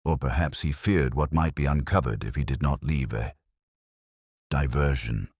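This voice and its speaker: A man voicing a cold, evasive older male town official in a measured, formal, condescending way. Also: very deep voice, a little mellow, husky, no room sound, clean studio sound, exaggerated voice.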